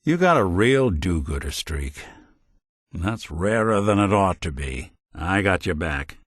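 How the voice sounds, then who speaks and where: An actor playing a weary, wry male synth detective in a game, with a dry, world-weary noir drawl that turns gruff in anger. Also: deep voice, dry recording, full character voice. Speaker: companion character. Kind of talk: game voice lines. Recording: dry studio recording.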